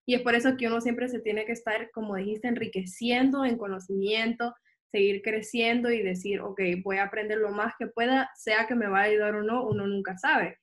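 A woman's voice: a little high, steady tone, normal speed. Friendly woman asking long questions. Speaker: interviewer. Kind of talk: interview questions.

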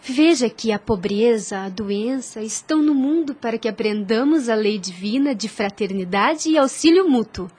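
Young street singer, gentle, devout, earnest, slightly high, husky-breathy, radio play. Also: female voice, moderate pace, few pauses. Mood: gently instructive. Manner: earnest, consoling, formal. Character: gentle, pious young street singer, caring elder sister. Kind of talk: radio drama acting.

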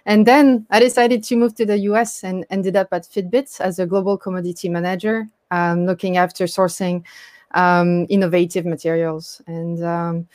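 A woman's voice: fairly low pitch, fairly even intonation, normal speed, darkish tone, dry recording, clean studio sound. French woman, hesitant startup founder. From France. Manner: hesitant, many fillers. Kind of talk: online interview talk.

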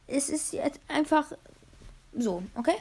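Young female podcast host, hoarse, chatty, fairly high voice, rambles with fillers. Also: animated, a little slow, dark tone. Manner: rambling, many fillers, playing a game while talking. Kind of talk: casual gaming podcast chat.

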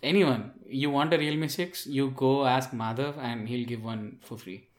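Male podcast co-host, medium pitch, casual tech talk, echoey recording. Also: steady tone, a little slow. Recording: roomy, echoey sound.

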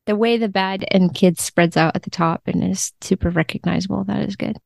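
A woman chatting casually and wryly about books as a podcast guest, quick to laugh. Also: mid-range voice, fairly even intonation, vocal fry, no echo, studio quality. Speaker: guest, literary translator.

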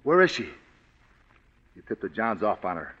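A man's voice: fairly low pitch, sing-song, normal speed, fairly bright tone. An actor playing a huge, simple-minded ex-con man who talks in blunt slang, on a 1940s radio drama. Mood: anxious. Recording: dull 1940s radio broadcast recording.

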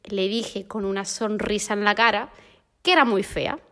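Una mujer joven con acento de España, invitada en un pódcast, charlando y contando anécdotas entre risas. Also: female voice, mid-range voice, moderate pace, bright, forceful tone. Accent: Spain Spanish. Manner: chatty, laughing storyteller. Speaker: podcast guest. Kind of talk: casual conversation.

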